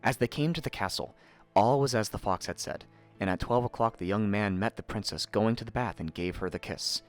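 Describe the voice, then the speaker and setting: A man narrating a fairy tale in an expressive, theatrical storytelling manner. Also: on the low side, fairly quick, faint music behind.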